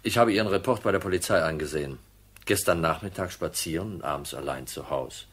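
An actor playing a hard-nosed, dry and insistent insurance detective in a German crime radio play. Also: male voice, low voice, fairly quick, fairly bright tone, slightly rough. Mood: politely pressing. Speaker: narrator and lead actor.

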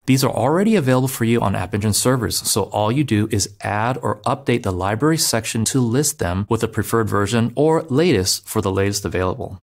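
A man giving a scripted, step-by-step narration of a software migration tutorial, speaking clearly and steadily as a voice-over. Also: lowish voice, lively intonation, brisk, hardly any pauses. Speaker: developer advocate. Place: voice-over over screen recordings.

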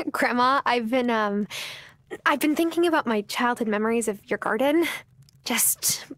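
A woman's voice: fairly high pitch, expressive, a little slow, fairly bright tone, dry recording. A young woman playing a curious, earnest granddaughter in a video game, speaking in a hesitant, eager, conversational way. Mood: eager, warm. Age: young adult.

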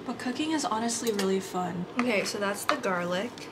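A woman's voice: medium pitch, expressive, normal speed. Chatty young woman, noisy kitchen. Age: young adult. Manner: chatty, narrating each step as she cooks. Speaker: vlogger. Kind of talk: casual cooking vlog narration. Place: small apartment kitchen, indoors.

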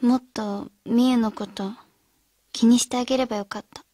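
An actress playing a thoughtful, caring high school girl who gently reasons with friends in a radio drama. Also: fairly even intonation, moderate pace, no room sound, clean studio sound, lightly acted. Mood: gentle, reflective.

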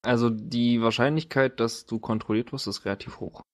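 A man narrating a tabletop role-playing game as game master, improvising in a casual, halting, colloquial way. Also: medium pitch, fairly even intonation.

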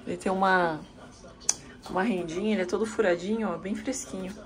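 A woman's voice: medium pitch, fairly even intonation, fairly quick. Chatty woman in her thirties vlogging. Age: 30s. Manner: chatty, exclaiming, addresses viewers. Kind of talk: casual vlog chat to camera. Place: hotel room. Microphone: handheld camera.

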